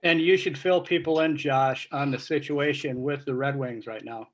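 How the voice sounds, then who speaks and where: A man's voice: on the high side, steady tone, normal speed. A man hosting a casual conversation on a video call, putting questions to guests. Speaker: meeting host, interviewer. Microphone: video call microphone.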